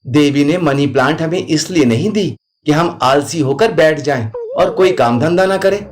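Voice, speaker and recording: A man's voice: on the high side, moderate pace, natural voice. A man playing a hardworking village tradesman and husband in a storybook tale. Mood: earnest. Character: hardworking village tailor turned plant seller, a husband.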